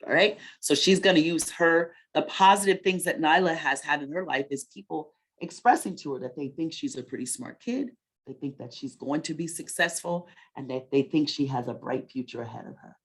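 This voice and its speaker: A woman with a slightly low-pitched voice presents to children as a story time host. She speaks conversationally, like an encouraging teacher who checks in with questions and echoes back the answers she gets. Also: brisk, slightly rough.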